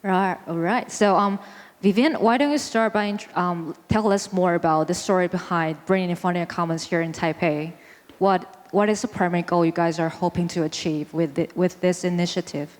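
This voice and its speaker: A woman's voice: on the low side, fairly even intonation, moderate pace. A woman moderating a panel, friendly and prompting the guests with questions. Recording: long reverb of a large hall.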